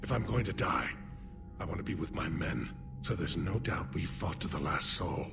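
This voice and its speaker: A man playing a weary but resolute alien military leader in a video game, formal, solemn and measured. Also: low-pitched, lively intonation, gravelly, music in the background. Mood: grim, sorrowful. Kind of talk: dramatic video game acting.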